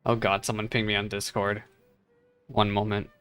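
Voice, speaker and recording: A man's voice: fairly low pitch, flat delivery, moderate pace, fairly bright tone, clear, resonant tone, faint music behind. A man giving casual, playfully bemused live commentary as a streamer reacting to a game, in colloquial speech.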